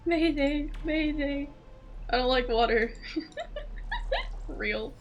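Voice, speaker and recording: A woman game streamer reacting live and playfully, with an excitable, squealing manner. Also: high-pitched, extremely slow, muffled recording, slight background noise.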